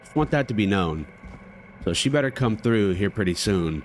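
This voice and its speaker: A low-voiced American man gives informal commentary on a game. He is slangy and thinks aloud, with faint noise and game ambience behind him. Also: expressive, moderate pace. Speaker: gaming streamer.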